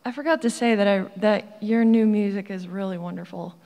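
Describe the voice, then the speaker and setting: A woman's voice: medium pitch, fairly quick, dark tone. A woman speaking casually as an audience member, admiring and a little hesitant as she works toward a question. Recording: echoey convention hall sound.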